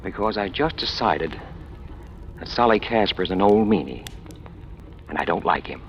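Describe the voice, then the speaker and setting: An actor playing a cool, wry small-time crook in clipped, dry, hard-boiled old radio noir style. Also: male voice, sits low, expressive, normal speed, slight background noise. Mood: dry, sardonic. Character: cool, wry small-time crook and informer, narrating his own story. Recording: muffled old radio recording, music behind.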